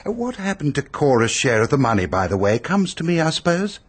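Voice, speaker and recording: An actor in a radio drama playing a pompous, peevish, self-pitying upper-class English hypochondriac who blusters and complains. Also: male voice, fairly high pitch, very animated, brisk, husky. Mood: peevish, dismissive.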